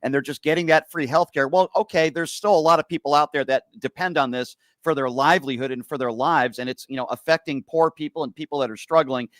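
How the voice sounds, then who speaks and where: A man's voice: fairly high pitch, fast talker, hardly any pauses, a bit bright, dry recording, studio quality. A man from Connecticut hosting a heated political debate, pressing his guest with rhetorical questions. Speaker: host.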